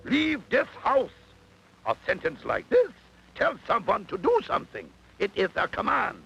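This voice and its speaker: A high, creaky mad professor. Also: male voice, wildly swooping pitch, measured pace, pushed and bright, exaggerated voice, rough recording. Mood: grand lecturing. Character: excitable eccentric mad scientist professor inventing word rules. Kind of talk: theatrical cartoon lecture.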